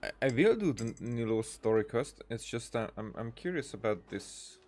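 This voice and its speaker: A man's voice: medium pitch, animated, moderate pace, a little mellow. A man doing casual let's-play commentary, reading game dialogue aloud in a hesitant way with many "uh"s and restarts.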